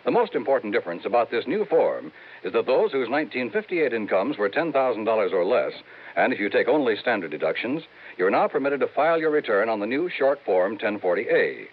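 A man's voice: mid-range voice, big pitch swings, a little fast, slight background noise. A man reading scripted announcements as a 1950s network radio announcer. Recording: tinny 1950s radio recording.

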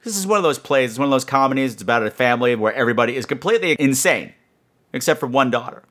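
A man with a very animated delivery hosts a show as a casual monologue. He rambles like a comic storyteller, tossing in sarcastic asides and salty language. His pitch moves around freely. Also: racing. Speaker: podcast host.

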